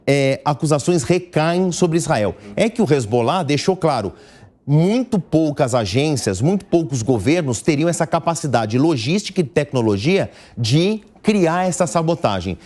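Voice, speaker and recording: A man's voice: fairly high pitch, darkish tone. A man with a Brazilian accent explaining the news live on television in a didactic, step-by-step way, stressing key words. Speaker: news commentator.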